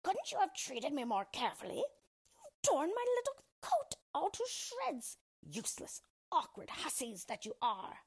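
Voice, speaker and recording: A woman voicing a spiteful little old male dwarf from a fairy tale, with a shrill, screeching voice and an exclaiming, scolding manner. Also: wildly swooping pitch, unhurried, heavily acted. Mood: shrill outrage. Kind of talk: storybook character voice.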